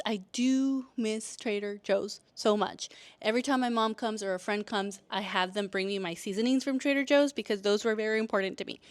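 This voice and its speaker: A woman in her thirties, from El Salvador and raised in California, talks casually straight to the camera. Her voice is smooth and clear, at an ordinary pitch for a woman. She rambles in a friendly way, full of fillers and little restarts. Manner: chatty, frequent fillers and restarts. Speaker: vlogger. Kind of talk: casual talking-to-camera vlog.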